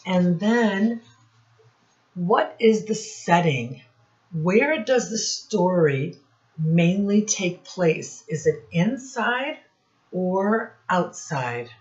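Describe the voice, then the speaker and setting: A woman teacher reading a picture book aloud to young children in deliberate, separated phrases, asking them questions as she goes. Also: lowish voice, expressive, darkish tone. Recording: dull, muffled lo-fi recording.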